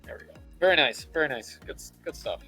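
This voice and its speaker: Bright-voiced man hosting a casual tutorial. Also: a little high, lively intonation, fast talker. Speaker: host of a livestream painting tutorial. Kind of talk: casual live tutorial commentary. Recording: music playing behind the voice. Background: music.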